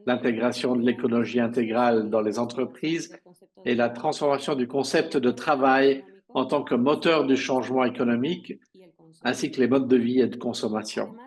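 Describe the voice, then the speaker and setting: A man interpreting conference speeches live, in a halting delivery with drawn-out pauses. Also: mid-range voice. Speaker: simultaneous interpreter.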